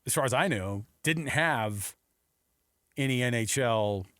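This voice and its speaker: A man's voice: mid-range voice, expressive, measured pace, fairly bright tone, dry recording, studio quality. A man hosting a sports talk show in a casual, conversational style. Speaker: sports talk radio host.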